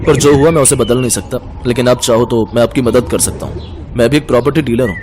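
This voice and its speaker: A man's voice: medium pitch, fast, background noise, close to an everyday voice. A man playing a grateful, polite, kindly wealthy businessman in a cartoon story. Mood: sympathetic.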